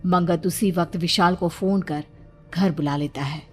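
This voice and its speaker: A woman with a slightly low voice tells a horror tale as scripted narration. Her delivery is dramatic, and clear music runs under the voice. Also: fairly even intonation, unhurried.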